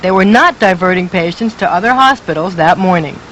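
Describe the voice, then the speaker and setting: A woman's voice: lowish voice, animated, fairly quick, fairly bright tone, slight background noise, rough recording. A woman reporter reading a scripted investigative news story with emphatic, dramatic delivery.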